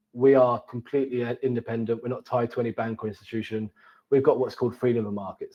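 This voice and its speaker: A man's voice: mid-range voice, muffled recording. A man with a southern English accent giving an informal webinar presentation in a conversational way, now and then repeating words as he goes. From Cambridge, England. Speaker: wealth manager presenting as guest. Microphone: online webinar call.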